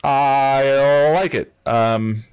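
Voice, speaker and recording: A man's voice: mid-range voice, drawn-out words, darkish tone, clear, resonant tone, dry recording. A man hosting a casual chat, recapping and steering in a hesitant, self-deprecating way. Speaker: show host.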